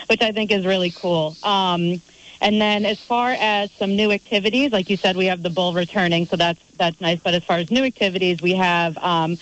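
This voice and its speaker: A woman festival organizer in a radio interview, upbeat and brisk as she runs through lists of attractions. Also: mid-range voice, lo-fi sound.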